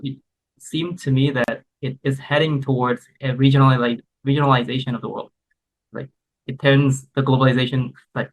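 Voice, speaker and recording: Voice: mid-range voice, poor audio. A panel guest from Cambodia, speaking in a casual, conversational way with fillers. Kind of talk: panel discussion.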